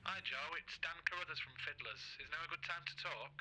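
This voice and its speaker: Guy acting a polite pushy company man on a work call, drama film, talks fast, noisy background. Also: fairly high pitch, natural voice. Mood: affable, coaxing. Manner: affable, persuasive, businesslike. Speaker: actor in a workplace safety drama. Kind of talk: naturalistic film drama dialogue.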